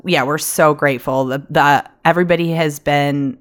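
A woman's voice: low-pitched, steady tone, moderate pace. A woman co-hosting a comedy podcast in casual banter, with a teasing, blunt manner. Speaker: podcast co-host.

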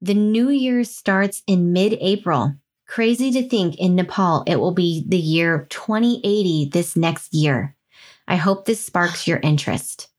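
A woman's voice: on the low side, animated, unhurried. A woman co-hosting a podcast in a casual, conversational style. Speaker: podcast co-host. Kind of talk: casual chat and reading listener mail.

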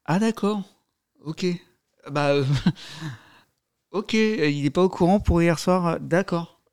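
A man playing an awkward, nosy flatmate in an improvised radio comedy sketch, offering tentative interjections. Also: a little high, slow, smooth, voice pushed up. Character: awkward, nosy male flatmate walking into a row.

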